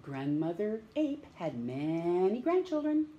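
Animated, slow-paced woman reading to children. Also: on the low side, muffled recording. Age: middle-aged. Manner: theatrical, drawn-out words, questions to young listeners. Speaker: storytime host reading aloud. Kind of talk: children's picture-book read-aloud. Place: small room at home, indoors.

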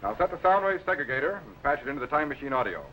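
An actor playing a commanding, earnest scientist captain in a 1950s TV serial, speaking in a clipped manner. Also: male voice, fairly high pitch, sing-song, racing, pushed and bright. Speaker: time-travelling scientist captain. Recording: noisy old 1950s TV film soundtrack.

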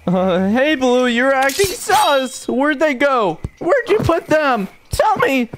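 A woman voicing an excitable orange stick figure in an amateur cartoon fan dub, searching for lost friends with casual, youthful slang and exclamations. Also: high-pitched, slow, background noise, heavily acted. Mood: alarmed, threatening.

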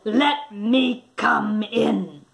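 A woman's voice: medium pitch, animated, very slow, vocal fry, full character voice. A woman voicing a big bad male pig, a menacing bully in a children's storybook. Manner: menacing, huffing threats. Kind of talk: children's storybook read-aloud.

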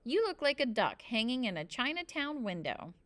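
A woman voicing a bossy, excitable preschool girl in a children's audiobook, with a chirpy, commanding manner. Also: fairly high pitch, normal speed, pitched above the performer's own voice. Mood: bossy, excited.

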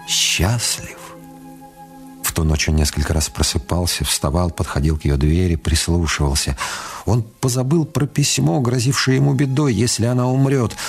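A man's voice: deep voice, gravelly, a little mellow. A man reading scripted literary narration as the narrator of a radio play.

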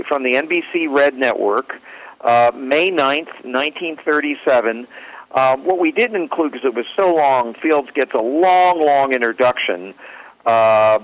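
A man presenting an informal historical talk in a halting, hesitant manner. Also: medium pitch, fairly bright tone, rough recording. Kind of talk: informal historical talk introducing radio clips.

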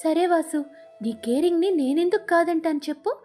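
A woman voicing a friendly, caring young female parrot who chats in a sweet, coaxing way in an animated storybook. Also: very high-pitched, normal speed, soft background music, voice pushed up. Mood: reassuring, coaxing.

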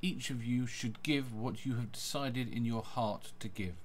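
A man's voice: medium pitch, measured pace. A man reading Bible passages aloud as scripted scripture narration.

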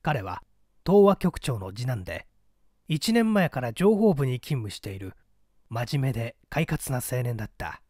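A man's voice: mid-range voice, very animated, measured pace, darkish tone, no room sound. Man, literary narration, story reader, very dry studio-like sound.

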